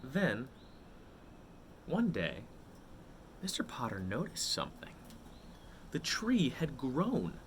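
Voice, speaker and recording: A man's voice: mid-range voice, wildly swooping pitch, slow pace, long pauses, a little hiss or hum. A man hosting a read-aloud for children, reading a picture book expressively and addressing his young listeners directly.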